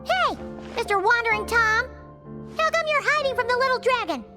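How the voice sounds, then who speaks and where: Squeaky, excitable little pixie girl. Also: normal speed. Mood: indignant. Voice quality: childlike, squeaky. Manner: exclamatory, blunt, animated. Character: small excitable pixie girl companion, bossy and cheerful. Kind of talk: animated video game acting. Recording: music behind the voice.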